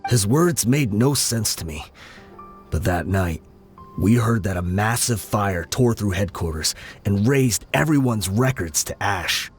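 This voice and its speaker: Brief: a grim, vengeful gang lieutenant, low voice, measured telling, soft music behind. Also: male voice, animated. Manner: measured, explaining. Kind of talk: video game voice acting.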